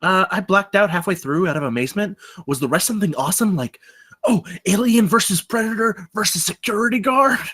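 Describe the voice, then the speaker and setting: A man playing an eager, naive rookie security guard in a screenplay table read, excitable and boastful. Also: sits high, brisk, slightly rough, exaggerated voice.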